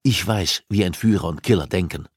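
A man voicing a cold, commanding criminal profiler in an audiobook, arguing with deliberate insistence. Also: low-pitched, breathy edge, no room sound, studio quality. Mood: grave, insistent. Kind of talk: audiobook dialogue acting.

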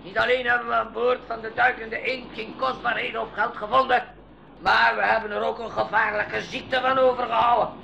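An actor playing a bossy, blustering pirate captain who barks orders in a radio drama. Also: male voice, very high voice, level tone, brisk, vocal fry, bright, forceful tone, highs cut off, heavily acted. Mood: commanding. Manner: barking orders, blustering, commanding. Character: bossy, bellowing male pirate captain, gullible and brash. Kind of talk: 1980s school radio drama acting. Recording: noisy 1980s radio drama recording.

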